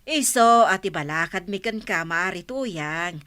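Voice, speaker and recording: A woman's voice: lowish voice, expressive, unhurried, fairly bright tone, clean tone. A woman with an Ilocano Filipino accent playing a nosy village woman handing out advice in a comedy radio drama. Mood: nosy, urging. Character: nosy, advising village woman, echoing her friend.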